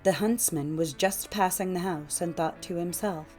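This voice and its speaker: A woman reads a fairytale as an audiobook narrator. Her telling is measured and expressive, with faint music underneath. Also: lowish voice.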